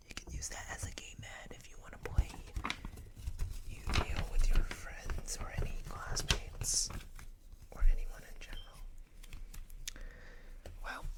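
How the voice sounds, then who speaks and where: A woman whispering in a breathy, unvoiced voice, hosting a casual, gentle ASMR show-and-tell. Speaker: ASMR video host.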